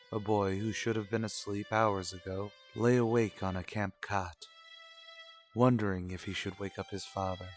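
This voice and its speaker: A man narrating an old-time radio serial, measured and dramatic, addressing the character directly. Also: on the low side. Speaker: narrator and announcer.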